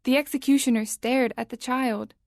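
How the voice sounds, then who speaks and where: A woman narrating a scripted story for audio drama in a measured, suspenseful storytelling manner. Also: high-pitched, steady tone, dry recording, studio quality.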